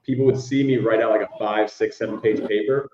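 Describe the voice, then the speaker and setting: Low-voiced young man, echoey lo-fi. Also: fairly even intonation, brisk, a little mellow. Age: young adult. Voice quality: baritone speaking voice. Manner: rambling, many "like" fillers. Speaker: musician guest. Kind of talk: casual interview chat. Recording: echoey, dull lo-fi room sound.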